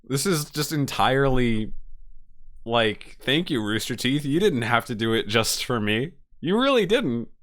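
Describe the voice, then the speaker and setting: A man hosting a reaction video with casual, unscripted commentary, halting and emotive, often repeating his phrases. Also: medium pitch, big pitch swings, a bit bright.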